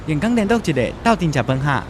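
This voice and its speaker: An actor with a Taiwanese accent playing a hurried, breezily sociable male acquaintance making small talk in a radio drama. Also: on the high side, very animated, slight background noise. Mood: breezy, hurried.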